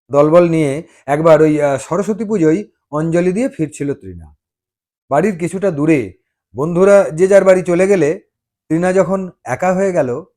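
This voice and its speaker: Expressive, higher-voiced man narrating a story.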